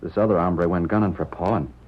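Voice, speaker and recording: An actor playing a self-doubting Old West rancher, folksy and hesitant, with an American Western accent in a radio drama. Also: male voice, low voice, fairly even intonation, quick pace, slightly rough. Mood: resigned, ashamed. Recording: muffled vintage radio drama.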